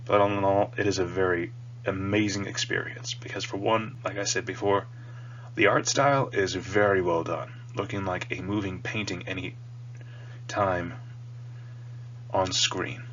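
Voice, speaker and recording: A man's voice: sits low, steady tone, a little slow, a bit bright. A man with a stuffy, slightly nasal voice from a cold, rambling earnestly and casually as he hosts a review video. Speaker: video host reviewing a cartoon. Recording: dull lo-fi mic with audible hum.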